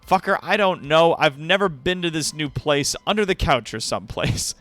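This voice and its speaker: A man's voice: fairly high pitch, expressive, moderate pace, a bit bright, faint music behind. A man hosting a livestream, giving a dramatic reading of fan fiction with wry, sweary asides to viewers. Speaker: livestream host.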